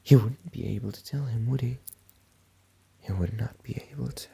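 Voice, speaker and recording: A woman softly narrating an ASMR bedtime story in a hushed, breathy whisper, with long pauses between short phrases. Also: extremely low pitch. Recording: muffled sound with rain behind. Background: soft rain.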